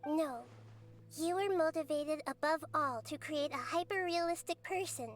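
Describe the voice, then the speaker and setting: A woman voicing a small, calm girl goddess of wisdom in a game cutscene, speaking in a composed, gently analytical way. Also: high voice, moderate pace, faint music behind, natural voice. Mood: calm probing.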